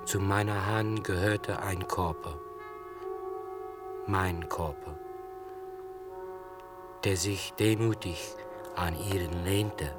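An actor playing a tender, furtive lover narrating a seduction in literary radio play style. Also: male voice, low voice, steady tone, takes it slowly, long pauses, music in the background. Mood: tender, intimate.

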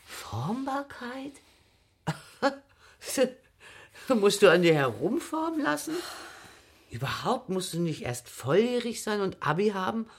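A woman playing a loving, playful, unstable middle-aged mother in a German radio drama, with an unhurried, teasing, colloquial delivery. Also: medium pitch, big pitch swings, breathy edge, full character voice. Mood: teasing, breezy.

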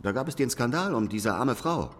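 A man's voice: mid-range voice, expressive, moderate pace, fairly bright tone, in a large hall. An actor playing a sceptical investigator in a radio drama, pointed and accusing as he questions and recounts. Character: probing, sceptical male investigator. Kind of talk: radio drama dialogue and narration.

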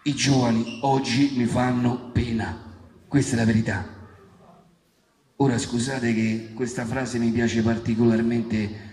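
A man playing a nostalgic, grumbling old grandfather with a Roman accent, talking slowly about the old days. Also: mid-range voice, little pitch movement, darkish tone, pitched below the performer's own voice, close to an everyday voice. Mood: pitying, grumbling. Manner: slow, grumbling about young people. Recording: echoey hall with music.

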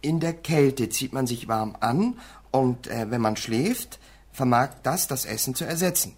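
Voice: medium pitch, animated, a little slow, a little mellow, slightly rough. A performer playing an eager young son and pupil in a German radio play, explaining things with some hesitation.

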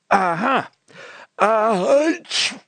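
A man's voice: high voice, expressive, extremely slow, gravelly, fairly bright tone, dry recording, voice pushed up, full character voice. A man voicing a fussy, officious village mayor in a story, blustering and easily exasperated. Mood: eager, impatient.

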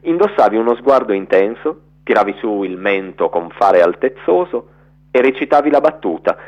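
Expressive low-voiced man reading. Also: normal speed, highs cut off. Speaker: narrator reading a literary radio piece. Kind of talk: expressive literary reading of a letter.

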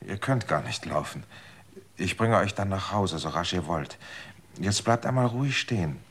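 A man's voice: low voice, animated, moderate pace, a bit bright, breathy edge, heavily acted. An actor playing a desperate, manipulative man in a radio drama, coaxing and then threatening. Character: desperate, manipulative man seeking longer life.

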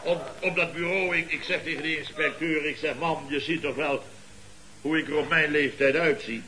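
A man acting a pompous, excitable middle-aged boss of a building firm in an old radio comedy, exclaiming and repeating himself. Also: on the high side, normal speed, a little hiss or hum, low-quality recording. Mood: aggrieved storytelling. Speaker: comic actor in a radio comedy series. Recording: old radio recording with studio audience.

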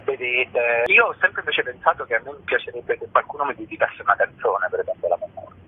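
A husky-voiced man gives a spontaneous radio interview over a muffled, lo-fi phone line. His pitch is middling, and he talks reflectively, pausing to search for words. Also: very animated. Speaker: guest, singer and stage performer.

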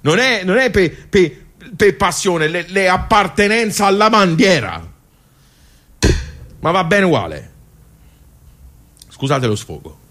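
A man's voice: sits high, very animated, slow pace, pauses often, a bit bright, heavily acted. An actor playing a bitter, resigned 78-year-old working-class man with a Tuscan accent, rambling colloquially in a radio play. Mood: melancholy, resolute. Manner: rambling, colloquial, swearing, world-weary. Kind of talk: radio theatre monologue, colloquial.

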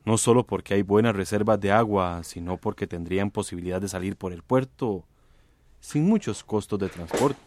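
Actor playing a low-voiced, scheming male congressman. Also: moderate pace, a little mellow, close to an everyday voice. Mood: guarded. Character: male congressman, discreet, smooth, veiledly threatening political schemer. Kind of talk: Spanish-language radio drama acting.

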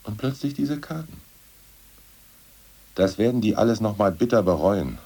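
An actor playing a brooding, ousted aging party official in a radio drama, who recites poems in a slow, solemn drone. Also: male voice, fairly low pitch, expressive, dark tone, breathy edge. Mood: indignant. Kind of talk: 1980s radio drama acting.

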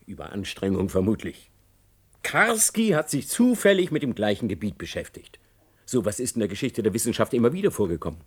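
An actor playing a condescending, defensive university professor in a German radio play, patronising and quick to take offence. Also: male voice, on the low side, sing-song, breathy edge. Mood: condescending, uneasy. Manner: patronising, indignant.

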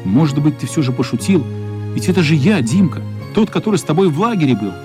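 Expressive, higher-voiced male radio-play narrator. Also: quick pace, over music. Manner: expressive, acts out characters' thoughts. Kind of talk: dramatic scripted storytelling for a radio play.

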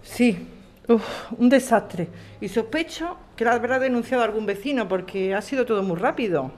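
A woman's voice: a little high, expressive, normal speed, a little mellow, close to an everyday voice. A woman playing a worried, urgent neighbour in a radio drama.

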